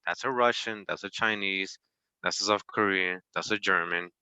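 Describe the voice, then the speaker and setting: A man's voice: sits low, normal speed, sharp tone, clean tone, no room sound. A man from Costa Rica in a casual discussion, speaking informally and often adding "you know.".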